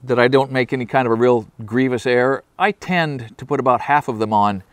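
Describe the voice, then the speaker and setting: Older carpenter explaining on a job site. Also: male voice, mid-range voice, brisk, dry recording. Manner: plainspoken, practical. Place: outdoor construction site.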